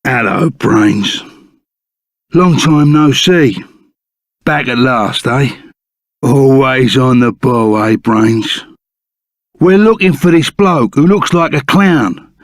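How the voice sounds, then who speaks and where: An actor playing a loyal, excitable reformed bandit sidekick in a video game, with a Cockney accent and blunt, slangy speech. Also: male voice, medium pitch, slow, pauses often, no echo, clean studio sound, lightly acted. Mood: brisk, blunt. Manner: rough colloquial slang, blunt and emphatic. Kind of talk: video game character voice acting.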